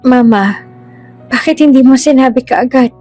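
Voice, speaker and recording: A high, thin child's voice, put on by a woman, timid and anxious. The child pleads in an audio drama with music underneath. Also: moderate pace, warm tone. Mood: worried, tearful. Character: frightened, pleading young child, Sasu.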